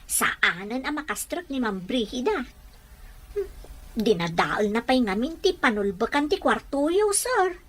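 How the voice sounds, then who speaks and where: A woman's voice: a little high, big pitch swings, a little slow. A woman acting a deferential, anxious household servant in an Ilocano audio drama.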